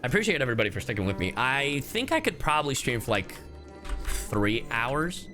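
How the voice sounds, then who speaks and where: A man's voice: mid-range voice, wildly swooping pitch, a little fast. A man chatting casually as a gaming streamer, joking and swearing freely. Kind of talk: casual gaming livestream chat. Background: game music.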